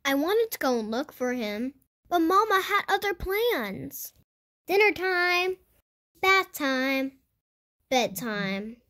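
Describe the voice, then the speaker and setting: A woman reading a picture book aloud to children in an animated storytelling style. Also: sits high, takes it slowly. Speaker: children's storybook reader and host. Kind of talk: animated read-aloud narration for kids.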